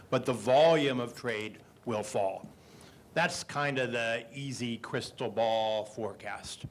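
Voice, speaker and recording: Guy w a booming voice on a panel mic, measured explainer tone, bit of faint room noise. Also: fairly bright tone. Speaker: panel guest economist. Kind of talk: panel discussion answer. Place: indoor event stage. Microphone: handheld microphone.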